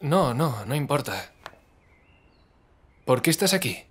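A man voicing a gentle, awkward teenage schoolboy in an anime fan dub, halting and low-key. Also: very animated, breathy edge, full character voice. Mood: hesitant, apologetic.

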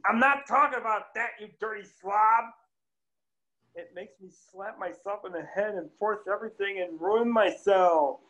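A man reading the part of an easily annoyed bar owner in an amateur table read over a video call, shouting and complaining in exasperation. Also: sits high, moderate pace, rough recording. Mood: exasperated. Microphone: laptop webcam mic on video call.

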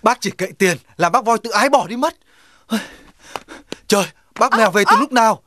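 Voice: mid-range voice, animated, normal speed, a bit bright, close to an everyday voice. An actor voicing a practical, talkative animal neighbour in a cartoon dub, persuading and organising the others. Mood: worried, grumbling. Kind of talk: Vietnamese children's cartoon dubbing.

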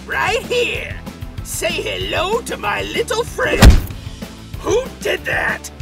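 A hot-tempered crook played by a woman yells aggressively in an extremely high, animated voice. It is comic-dub acting with music underneath. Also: takes it slowly, gravelly, exaggerated voice. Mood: furious. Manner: yelling, aggressive. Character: foul-mouthed, hot-tempered criminal getaway driver.

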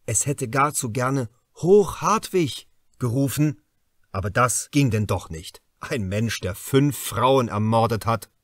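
A man delivers scripted literary narration in a mid-pitched voice. He phrases deliberately and leans on key words for drama. The sound is dry, with no room around it. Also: lively intonation, no room sound. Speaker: audiobook narrator.

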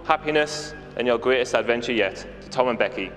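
A man giving a wedding toast as a friend of the couple, speaking warmly with small hesitations. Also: medium pitch, fairly quick. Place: wedding reception venue. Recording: echoey hall with music over it.

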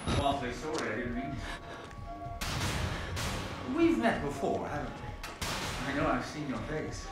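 An actor playing a deranged, lovesick killer in a horror game, speaking with courtly, yearning, creepily gentle politeness. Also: male voice, medium pitch, very animated, unhurried. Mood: yearning, eerie. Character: deranged, lovesick male killer, politely wooing his prey. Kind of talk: horror video game acting. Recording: echoing, under loud horror music.

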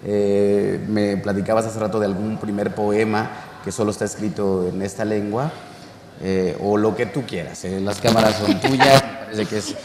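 A man in his thirties from Mexico hosting a relaxed interview, talking with animated gestures in a cultural center room. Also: on the low side, normal speed, a crowd in the background. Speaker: show host. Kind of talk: conversational interview. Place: cultural center courtyard, indoors. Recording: echoey room with long reverb.

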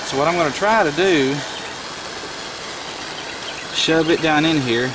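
A man's voice: a little high, a little slow. A man giving a casual, unscripted hands-on tutorial, thinking aloud as he works outdoors. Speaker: DIY vlogger. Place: outdoors in a rural yard. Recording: noisy outdoor camcorder audio. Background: clear outdoor ambient noise.

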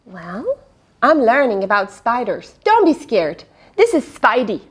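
An eager little boy from a children's storybook, voiced by a woman. He speaks earnestly and emphatically in a high, swinging voice, stressing his words slowly. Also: big pitch swings, husky, exaggerated voice. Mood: earnest, reassuring. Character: eager little boy who loves his spider friend. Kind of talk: children's storybook read-aloud.

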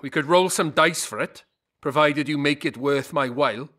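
A sly village trader for a fantasy game, with a fairly high, sharp and forceful male voice. He sounds folksy and shrewd, always haggling. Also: moderate pace. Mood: boastful, haggling. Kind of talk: video game character acting.

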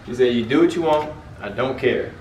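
A young man giving a casual tour of his house, talking in a relaxed, filler-heavy way and laughing often. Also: mid-range voice, a little fast, distant mic, over loud music. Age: young adult. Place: large house with game room, indoors. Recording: roomy, off-mic with faint room noise.

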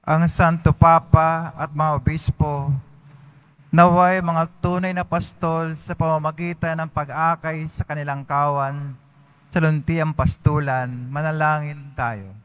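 A man serving as lector, reading the prayer intentions at mass in a steady, formal way. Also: a little high, unhurried, clean tone.

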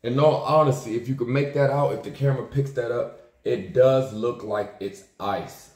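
A man's voice: medium pitch, moderate pace, darkish tone. An American man in his thirties chatting casually through an unboxing vlog, laid-back and slangy, repeating his phrases. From Maryland, United States. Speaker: YouTube sneaker unboxer. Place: small indoor room with plain wall. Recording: roomy, echoing indoor sound.

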